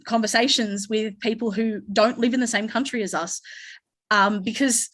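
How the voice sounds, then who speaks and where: A woman's voice: on the high side, fairly even intonation, moderate pace, a bit bright. An Australian woman speaking on a conference panel, rambling, with frequent restarts and fillers.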